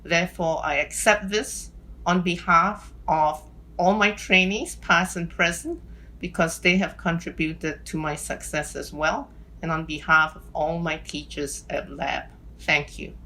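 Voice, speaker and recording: A woman from Singapore in her fifties, giving an earnest, measured award acceptance speech. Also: on the low side, steady tone, sharp tone, rough recording. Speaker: award recipient.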